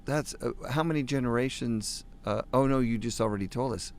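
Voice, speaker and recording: A man's voice: mid-range voice, fairly quick, slight background noise. A man hosting a podcast from inside a parked RV, asking questions in a laid-back, slangy way with lots of "like". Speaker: podcast host. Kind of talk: casual chat.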